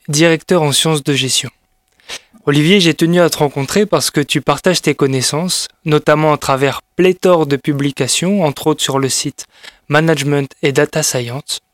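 A man's voice: on the high side, no room sound, clean studio sound. A man hosting an interview podcast, asking friendly, informal questions and summing up what his guest says. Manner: friendly, informal, sums up and asks follow-ups. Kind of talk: interview conversation.

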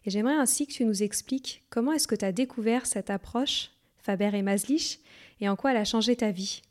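Woman podcast host, measured delivery. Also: a little high. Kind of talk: scripted intro then interview questions.

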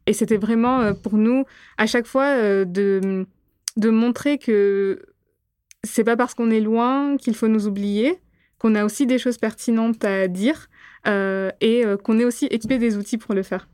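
Interview guest: young woman from Réunion, Gujarati as her first language, clear voice, measured and halting. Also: on the high side, fairly even intonation, darkish tone, dry recording, clean studio sound. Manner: thoughtful, frequent hesitations. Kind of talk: interview answers.

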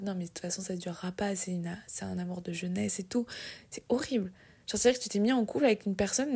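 A young woman in her twenties talks in a husky, gravelly voice, chatty and confiding, with plenty of fillers and restarts. Her pitch stays flat and her tone sounds dark and mellow. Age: 20s. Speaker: podcast host. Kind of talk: casual personal monologue.

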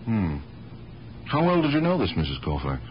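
An actor playing an exasperated police detective and devoted nephew, blunt, wry and pleading, in the style of 1970s radio drama. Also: male voice, lowish voice, wildly swooping pitch, normal speed. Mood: exasperated, urgent. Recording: dull, noisy 1970s radio broadcast recording.

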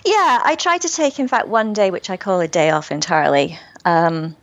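A British woman, an author being interviewed, who talks warmly and laughs often. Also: lowish voice, normal speed, no echo, dull audio. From Britain. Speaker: guest author. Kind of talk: casual interview.

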